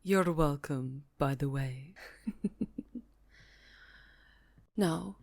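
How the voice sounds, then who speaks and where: Woman playing a low-voiced, haughty vampire countess. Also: vocal fry, darkish tone, exaggerated voice. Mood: teasing. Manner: imperious, silky, deliberate. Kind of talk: fantasy ASMR roleplay acting.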